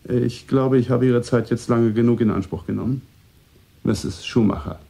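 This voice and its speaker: An actor playing a composed, politely probing man in a radio drama, speaking in a measured way with a mellow, slightly husky voice. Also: mid-range voice. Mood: courteous.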